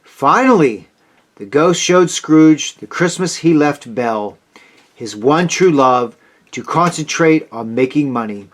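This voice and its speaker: A man reading a children's story aloud as a scripted narration, with expressive, dramatic delivery. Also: a little high, a little slow.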